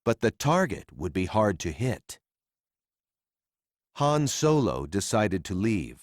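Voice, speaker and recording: A man narrating a children's read-along story in a simple, deliberate storytelling manner for young readers. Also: lowish voice, big pitch swings, dry recording, clean studio sound.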